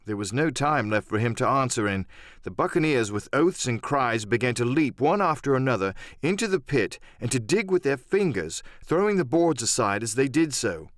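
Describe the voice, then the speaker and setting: A man's voice: mid-range voice, big pitch swings, normal speed, no room sound, clean studio sound. A man narrating an adventure novel in a dramatic first-person storytelling style. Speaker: audiobook narrator and voice actor.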